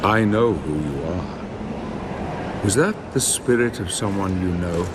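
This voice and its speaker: A veteran warrior of a holy order in a dark game drama. His low voice is measured, formal and grave, as if he knows much. Clear ambient noise sits behind him. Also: expressive. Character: composed, knowing veteran warrior of a holy brotherhood. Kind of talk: dramatic cinematic game acting.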